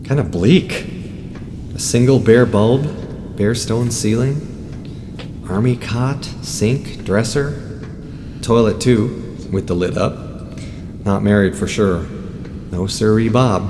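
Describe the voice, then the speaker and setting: A man's voice: on the low side, slow, long pauses. An actor playing a nosy, down-on-his-luck motorcyclist in an audio drama, muttering his thoughts in a folksy way. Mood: curious, musing. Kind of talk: audio drama acting with spoken inner monologue. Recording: reverberant hollow space with clear background noise.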